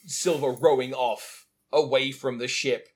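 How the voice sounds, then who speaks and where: A man's voice: mid-range voice, fairly even intonation, measured pace, breathy edge. Chatty man discussing a film. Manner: chatty, enthusiastic, acts out quotes. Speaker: podcast co-host.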